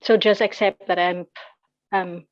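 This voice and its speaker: Woman, slow hesitant questioner, non-native English, dry close sound. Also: mid-range voice, steady tone, no echo. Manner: hesitant, searching for words. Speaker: participant asking the teacher questions. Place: video call. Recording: dull, dry video-call audio.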